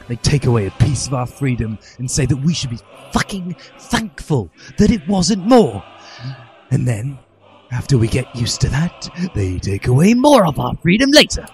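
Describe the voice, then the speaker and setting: A man voicing a furious, self-righteous teenage revolutionary who rants in an overwrought, shouty way in a comic story reading. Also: medium pitch, sing-song, moderate pace. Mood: indignant. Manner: shouty, overwrought ranting with swearing. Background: music.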